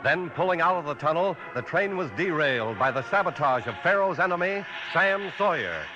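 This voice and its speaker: A male 1950s radio announcer delivers scripted lines in a high, animated voice that swings in pitch. Music plays clearly behind him on a dull old recording. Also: fairly quick.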